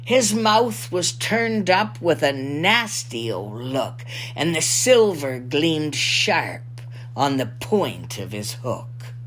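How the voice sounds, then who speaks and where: A woman voicing a salty old pirate lookout, spinning a rhyming Christmas yarn in a theatrical, drawn-out manner. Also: deep voice, big pitch swings, slight background noise, heavily acted. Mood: wondering, dramatic.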